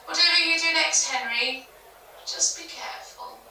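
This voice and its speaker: A woman's voice: very high voice, moderate pace, bright, forceful tone, full character voice. A woman playing an ominous widow, very echoey. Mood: ominous warning. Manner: cryptic, foreboding. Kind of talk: audio drama acting. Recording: distant, very echoey room with faint noise.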